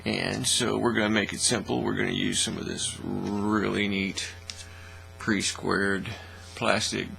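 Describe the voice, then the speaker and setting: A man hosting a casual hands-on hobby demonstration in a folksy, informal, unhurried way, recorded in a small indoor workshop studio. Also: lowish voice, creaky, poor audio. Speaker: host of a hobby workbench channel. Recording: steady mains hum, faint noise.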